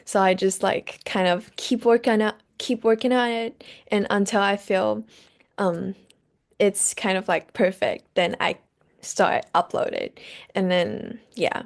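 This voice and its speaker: A young woman from Taiwan with as her answers questions in simple, halting English. Her voice carries a noticeable vocal fry, at a middle pitch. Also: steady tone. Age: young adult. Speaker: guest musician. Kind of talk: casual interview answers.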